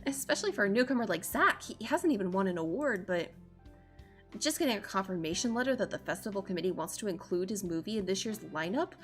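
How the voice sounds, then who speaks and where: A woman's voice: medium pitch, expressive, fast talker. A woman streamer reading a visual novel aloud with theatrical, casually sweary live commentary. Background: game music.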